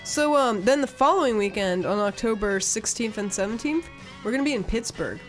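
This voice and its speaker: A woman's voice: a little high, lively intonation, brisk, soft background music, low-quality recording. Upbeat woman co-hosting a chat show. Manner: upbeat, reads announcements. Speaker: podcast co-host. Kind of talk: casual chat.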